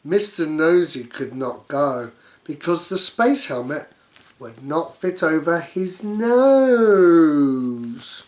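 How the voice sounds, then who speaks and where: A woman's voice: low voice, very animated, takes it slowly, a little mellow. A woman reading a children's story aloud in a silly, theatrical way, dropping in playful asides.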